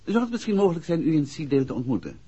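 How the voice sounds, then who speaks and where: An actor playing a courteous, persuasive private investigator in a 1960s radio drama. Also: male voice, on the high side, big pitch swings, racing, dark tone, slight background noise. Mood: polite, coaxing. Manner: polite, coaxing. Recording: muffled old 1960s radio recording.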